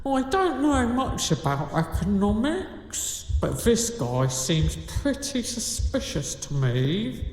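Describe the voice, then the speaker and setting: A man's voice: sits high, sing-song, takes it slowly, a little mellow, reverberant, in a large hall, full character voice, rough recording. A man playing a wary, exasperated female cow in a pantomime audio drama. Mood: suspicious.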